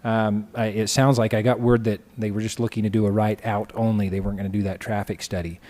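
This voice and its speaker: City engineer guy explaining road access, lots of ums, flat monotone, council chamber reverb. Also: lowish voice. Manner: halting, many fillers. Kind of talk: technical explanation at a council meeting.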